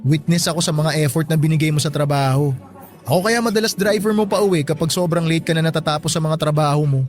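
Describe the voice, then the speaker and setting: An actor playing a teasing, devoted young best friend in a radio drama, with a playful, bantering delivery. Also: male voice, a little high, fast, soft background music, natural voice. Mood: proud, excited. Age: young adult. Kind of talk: Tagalog radio drama acting.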